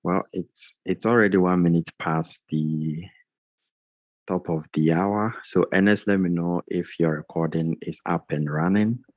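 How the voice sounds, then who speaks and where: Deep-voiced man hosting a video call. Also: fairly even intonation, unhurried, dry recording. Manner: repetitive, earnest, restarts phrases. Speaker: onboarding session host. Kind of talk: welcoming group presentation on video call. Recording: dull, dry video call audio.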